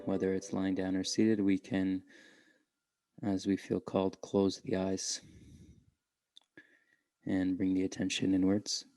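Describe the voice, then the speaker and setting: A man guiding a meditation in a gentle, unhurried way, leaving long pauses between short phrases. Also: sits low, monotone, no echo. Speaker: meditation guide. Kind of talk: guided meditation.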